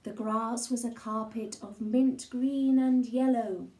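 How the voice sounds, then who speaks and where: A woman reading a rhyming children's picture book aloud, slowly and theatrically, in an expressive storytelling style. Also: fairly high pitch, roomy sound, rough recording. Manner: theatrical, slow and deliberate, with gasps and asides. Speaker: storybook reader.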